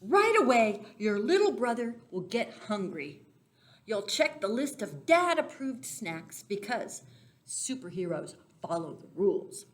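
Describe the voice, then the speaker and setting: A woman reading a picture book aloud to young children as a storyteller, expressive and deliberate, stressing key words and speaking directly to her listeners. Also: mid-range voice. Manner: talks directly to young listeners, asking simple questions. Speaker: children's storyteller for a church Sunday school.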